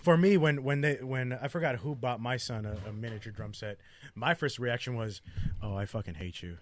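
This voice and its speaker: A man's voice: mid-range voice, a little fast. A man in his thirties in a casual group chat, self-deprecating and often circling back over his phrasing. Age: 30s. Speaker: podcast panelist.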